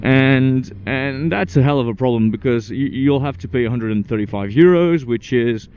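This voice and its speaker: A young Frenchman with a French accent chatting casually while riding his motorcycle through city traffic, halting and full of restarts. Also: mid-range voice, a little mellow. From France. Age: young adult. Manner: halting, many restarts and fillers, swears at traffic. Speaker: motorcycle vlogger. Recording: dull lo-fi helmet mic with faint traffic noise.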